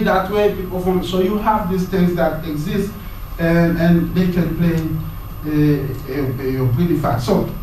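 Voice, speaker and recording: A man giving a university lecture, talking in a halting way and often repeating words as he searches for the next one. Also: sits high, darkish tone, a little hiss or hum. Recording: very echoey room, mic far off.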